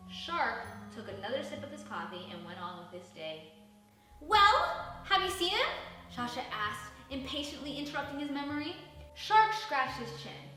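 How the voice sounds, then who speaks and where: Female children's storybook reader, high and bright, animated delivery, echoey room with faint music behind. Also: distant mic. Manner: animated, dramatic. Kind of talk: expressive scripted children's story narration.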